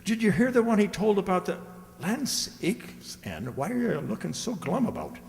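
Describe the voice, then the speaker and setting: A man's voice: high-pitched, very animated, moderate pace, creaky, a little mellow, full character voice. A man playing a blunt, hearty country father in a radio drama. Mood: hearty, amused. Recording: hall-like reverb, music.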